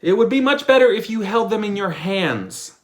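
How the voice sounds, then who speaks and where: A man's voice: high-pitched, expressive, normal speed, voice pushed up. A man voicing a sensible young suitor from a fairy tale, emphatic and advising. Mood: helpful.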